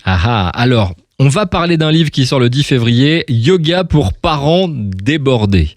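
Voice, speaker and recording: A man's voice: mid-range voice, very animated, unhurried, no room sound. Male radio host, close dry sound. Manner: hesitant, repeats and restarts his words. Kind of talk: casual live radio interview.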